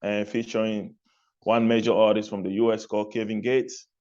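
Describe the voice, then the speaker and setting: A man's voice: on the low side, normal speed. Cameroon-born man, muffled lo-fi sound. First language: not English. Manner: relaxed, repeats phrases, laughs lightly between points. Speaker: guest, music artist and label executive. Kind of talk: interview answers.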